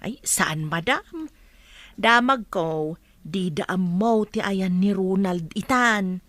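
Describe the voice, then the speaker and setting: A woman's voice: medium pitch, takes it slowly, fairly bright tone, dry recording. A woman with an Ilocano accent playing a deferential gossip addressing her madam in a radio drama. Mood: hesitant, informing.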